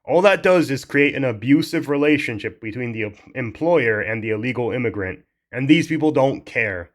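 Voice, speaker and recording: Young male commentator, mid-pitched, heated casual rant, swears, restarts lines. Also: expressive, moderate pace. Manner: heated, blunt, swears freely, restarts sentences.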